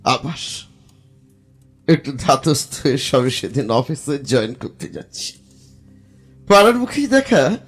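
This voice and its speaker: A man playing a haunted, distressed narrator of a first-person horror audio drama, halting and repeating his phrases. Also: a little high, husky, heavily acted. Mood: uneasy, recollecting.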